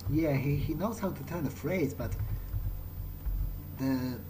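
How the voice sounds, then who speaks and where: A man's voice: fairly high pitch, slow, background noise. A man giving casual, in-character commentary as he plays a game, musing in a wry, sweary way. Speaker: let's player. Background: game music.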